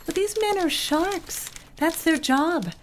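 A woman's voice: very high-pitched, takes it slowly, slight background noise. A woman playing a pragmatic, worldly office colleague in an audio drama, giving matter-of-fact advice. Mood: frank.

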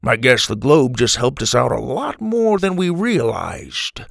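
A man doing a calm old grandpa. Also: mid-range voice, creaky, no room sound, studio quality, full character voice. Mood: thoughtful. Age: older. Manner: thoughtful, measured. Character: older bearded grandfather, calm and thoughtful.

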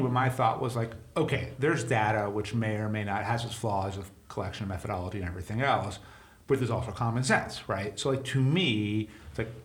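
A man's voice: mid-range voice, a bit bright. A man hosting a podcast, asking questions in a chatty, rambling way. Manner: chatty, rambling, with many fillers. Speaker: podcast host. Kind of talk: casual podcast interview. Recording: roomy echo.

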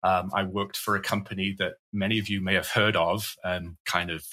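A man's voice: sits low, little pitch movement, moderate pace, bright, forceful tone. A middle-aged man from Wales with a Welsh accent, chatting as a guest on a podcast in a chatty, self-deprecating way. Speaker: guest, cybersecurity evangelist. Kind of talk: casual podcast conversation.

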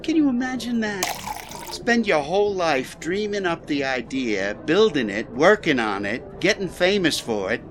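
A man playing a smooth, courteous and subtly menacing speakeasy boss in a period radio drama. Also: a little high, sing-song, background noise. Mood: chatty, amused. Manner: courteous, chatty, deliberate.

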